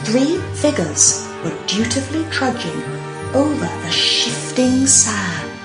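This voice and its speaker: A middle-aged woman reading a children's story aloud, with dramatic, deliberate emphasis. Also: on the high side, sing-song, echoey room, over loud music. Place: small decorated room indoors.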